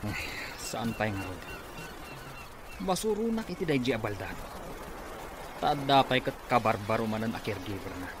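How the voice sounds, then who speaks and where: A man's voice: fairly low pitch, sing-song, moderate pace, pauses often, over music. A man with an Ilocano accent playing an impatient schemer in a radio comedy drama. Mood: grumbling. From Philippines. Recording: clearly noisy background ambience.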